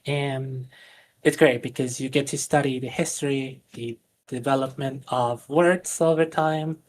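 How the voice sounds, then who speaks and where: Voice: medium pitch, fairly even intonation, a little slow, a little mellow, a little hiss or hum. A voice coach whose first language is Spanish, earnestly explaining voice training on a live stream with plenty of fillers and restarts.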